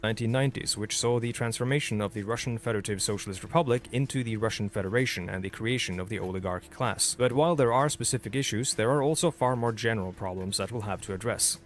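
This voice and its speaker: A man with a Norwegian accent reading a scripted video essay in a measured, critical, explanatory way. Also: fairly low pitch. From Norway.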